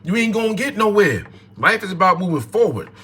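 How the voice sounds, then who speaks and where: A man's voice: sits high, sing-song, a little fast, bright, forceful tone, a little hiss or hum. A man, hosting a casual livestream, talking into his phone in a repetitive, preachy way and greeting chat viewers. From North New Jersey, USA. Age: 40s. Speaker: livestream host.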